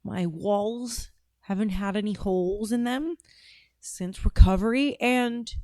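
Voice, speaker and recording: A woman's voice: medium pitch, slow, a little mellow, no echo, clean studio sound. A woman hosting a call-in podcast, talking bluntly and swearing freely, with frequent laughter. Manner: blunt, profane, laughs often. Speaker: podcast host taking calls. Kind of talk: casual call-in chat.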